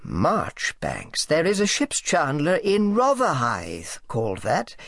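A man as an obliging, pompous gentleman, swooping pitch. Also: wildly swooping pitch, creaky, no echo, clean studio sound, exaggerated voice. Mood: eager, deliberate. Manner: courteous, effusive, deliberate. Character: large well-fed pompous male office gentleman, obliging. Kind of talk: audiobook character acting.

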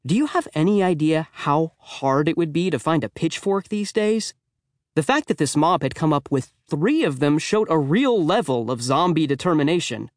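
A man with a fairly high voice narrates a children's story in a very dry recording space. His delivery is expressive, youthful and conversational, with a wry first-person tone. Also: moderate pace, dry recording. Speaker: audiobook narrator.

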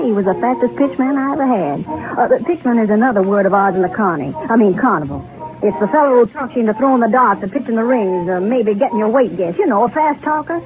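A wistful old Southern carnival boss played by an actress, spinning her yarn in a folksy, rambling way. Her voice sits fairly high over prominent music on a tinny old radio broadcast. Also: natural voice. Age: older. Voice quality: folksy, rural speech. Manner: rambling, confiding storyteller. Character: folksy aging Southern carnival show owner, wistful, garrulous woman. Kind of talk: 1930s radio drama narration.

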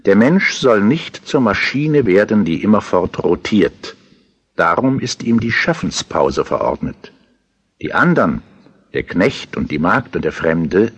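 A man's voice: low voice, husky. A man giving earnest, instructive scripted narration for an audiobook.

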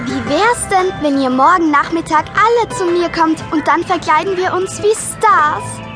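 A woman's voice: very high-pitched, unhurried, music in the background. A woman playing a bossy, self-assured schoolgirl with a confident, showy manner in a children's audio drama. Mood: excited, confident.

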